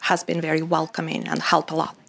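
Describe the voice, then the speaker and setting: Female interpreter, lowish and creaky, measured delivery with little pitch movement. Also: completely flat delivery, a crowd in the background. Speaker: interpreter, English language teacher. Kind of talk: consecutive interpreting in third person.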